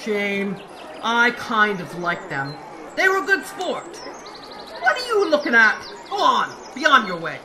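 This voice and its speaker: A sly, teasing gate guard played by a female actor, drawling slowly with big swings in tone. The radio adventure has noisy birdsong behind the voice. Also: on the high side, huge pitch swings, full character voice. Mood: wistful, bossy. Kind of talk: radio-serial style acting.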